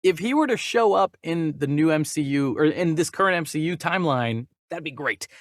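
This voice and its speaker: Animated, higher-pitched male movie podcast host. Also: brisk, no room sound, clean studio sound. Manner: jokey, animated, stumbles over names when reading. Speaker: podcast host reading and discussing movie news. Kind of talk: casual chat with read-out news items.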